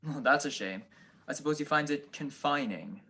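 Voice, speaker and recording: A man's voice: fairly high pitch, lively intonation, normal speed, bright, forceful tone. A young man playing a wry, unflappable detective husband in an amateur 1940s-style radio drama. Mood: dry, reassuring. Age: young adult.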